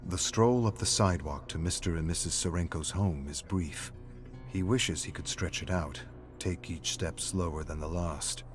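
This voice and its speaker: Really deep male narrator reading a moody dramatic story, measured n brooding, music behind him.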